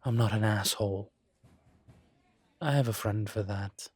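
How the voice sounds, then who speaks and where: A man playing a composed, wry incubus investigator in a scripted roleplay audio drama, speaking in a measured, deliberate, conversational way. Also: lowish voice, breathy edge, no echo. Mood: amused. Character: composed, wry male incubus demon investigator, politely self-assured.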